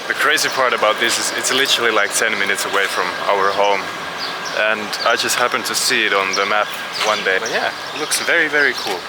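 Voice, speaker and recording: A man with a Finnish accent and a fairly low voice talks casually to his vlog audience. He is blunt and informal and swears freely. There is clear ambient and vehicle noise behind him. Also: a little fast. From Finland. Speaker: vlogger. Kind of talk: casual chat to camera.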